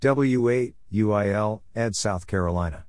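Slow, deliberate man reading practice phrases. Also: on the low side, background noise. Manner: deliberate, spells out letter by letter. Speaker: Morse code practice narrator. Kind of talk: scripted read-out of short phrases.

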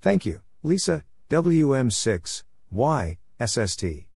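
A man's voice: mid-range voice, very animated, slow, gravelly, darkish tone, a little hiss or hum. A man reading out call signs and short exchanges for Morse code practice, spelling them letter by letter in brief phrases.

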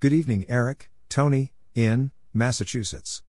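Male narrator for radio practice drills, medium pitch, scripted, clipped and deliberate delivery. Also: darkish tone. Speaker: narrator of Morse code practice exchanges. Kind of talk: scripted readout of letters and short radio exchanges.

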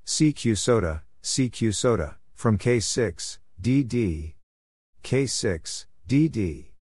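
A man narrating scripted radio exchanges for Morse code practice, reading in a measured way and spelling out call signs letter by letter. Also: fairly low pitch, animated, darkish tone, husky, dry recording, studio quality.

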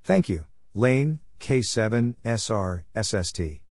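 A man reading scripted practice material as a narrator, measured and spelling out letters and numbers one at a time. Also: on the low side, sing-song, darkish tone, dry recording, clean studio sound. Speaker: narrator of Morse code practice. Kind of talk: scripted readout of callsigns and exchanges.

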